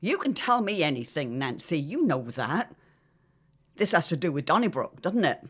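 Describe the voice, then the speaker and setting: A woman's voice: low voice, big pitch swings, moderate pace, slightly rough, exaggerated voice. An actress playing an arch, theatrical middle-aged mother with grand, witchy airs in a period audio drama. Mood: knowing, arch.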